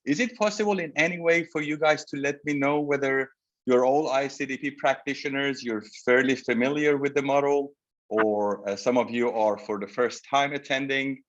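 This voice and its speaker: A man, giving a polite, measured webinar lecture over a video call. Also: medium pitch. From Iran. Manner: polite, measured, addresses audience directly. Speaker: presenter, psychotherapy researcher. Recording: slightly dull video call audio.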